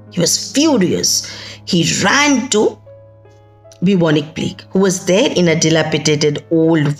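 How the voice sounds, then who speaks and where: A middle-aged woman with an Indian accent telling a folktale in an expressive, emphatic way, with conversational asides. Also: medium pitch, gravelly. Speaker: storyteller. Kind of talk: dramatic oral folktale storytelling. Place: indoors, small plain room. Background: music.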